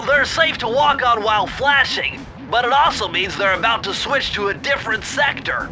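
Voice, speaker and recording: A man's voice: extremely high pitch, moderate pace, rough recording. A man voicing a brainy, fussy cartoon animal gadget expert, nasal and precise. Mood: explaining. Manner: precise, pedantic, nerdy. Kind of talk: video game cartoon voice acting. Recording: music playing behind.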